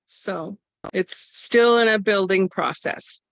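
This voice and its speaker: A woman introduces herself slowly on a video call, hesitant and full of fillers. Her voice is smooth and clear. The sound is dry and close. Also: mid-range voice, no room sound, poor audio. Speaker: workshop participant.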